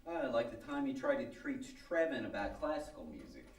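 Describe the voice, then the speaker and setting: A man's voice: medium pitch, lively intonation, fairly quick, lightly acted. A man playing a sardonic, teasing middle-aged small-town husband in a radio comedy, with a dry grumbling manner. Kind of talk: old-time radio comedy acting before an audience. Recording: roomy live stage, distant microphone.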